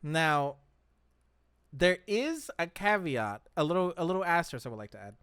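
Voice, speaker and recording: A man's voice: on the high side, very animated, moderate pace, fairly bright tone, clear, resonant tone, dry recording, studio quality. A man co-hosting a casual chat show, talking enthusiastically and emphatically about his favourites. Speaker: podcast co-host.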